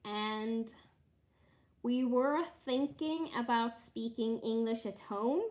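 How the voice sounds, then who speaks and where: A woman with a Norwegian accent, a native Norwegian speaker, chatting casually while stitching live and thinking aloud with frequent pauses and fillers. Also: fairly high pitch, a little mellow. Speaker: cross-stitch streamer.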